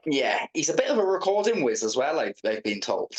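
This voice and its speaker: A man's voice: medium pitch, very animated, fairly quick. A man asking questions as the interviewer in a casual chat. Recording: roomy, echoey sound.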